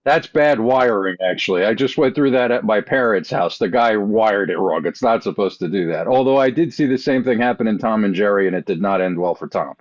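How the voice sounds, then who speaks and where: Low-voiced man, slightly dull lo-fi sound. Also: lively intonation, fairly quick, few pauses. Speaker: podcast guest, voice actor. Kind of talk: casual chat.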